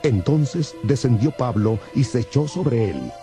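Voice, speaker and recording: A male narrator reads scripture in a mellow, dark-toned voice of medium pitch. It is scripted audio Bible storytelling, with faint music underneath. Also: lively intonation, unhurried.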